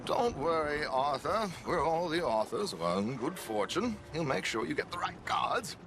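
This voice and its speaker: A man playing a flamboyant, cultured, scheming gentleman con man in a video game cutscene, speaking with theatrical, florid charm and breezy confidence. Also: fairly high pitch, very animated, moderate pace, background noise. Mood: reassuring, sly.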